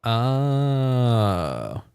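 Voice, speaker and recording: A man's voice: mid-range voice, very animated, extremely slow, creaky, darkish tone, smooth, no echo, clean studio sound. A man doing casual, chatty livestream commentary on a video game, reacting aloud and reading bits of text as he goes. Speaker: livestream host.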